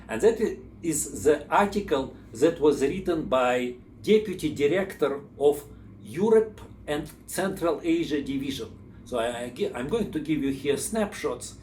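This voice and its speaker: A man's voice: on the high side, a little mellow. Man rambling in an echoey room. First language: Russian. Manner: rambling, many fillers and restarts. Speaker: channel host. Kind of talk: informal explainer talk. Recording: echoey room, faint background hum.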